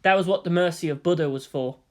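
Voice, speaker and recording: A man's voice: fairly high pitch, quick pace. A man reading scripted fiction aloud as the narrator.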